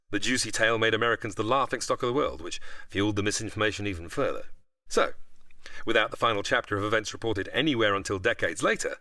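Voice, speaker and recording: Bright, animated man explaining history. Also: lowish voice, creaky, dry recording. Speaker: guest expert explaining history. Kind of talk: explanatory interview, largely prepared narration.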